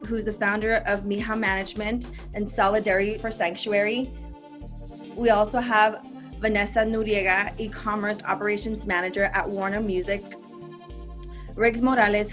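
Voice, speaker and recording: A woman's voice: mid-range voice, fairly bright tone. A woman hosting a panel discussion, introducing guests and asking questions in an upbeat, polished way. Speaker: panel moderator.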